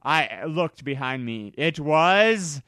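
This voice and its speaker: A man reading a story aloud in a theatrical, deliberate way, as an amateur dramatic narration. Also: a little high, lively intonation, sharp tone, no echo, studio quality.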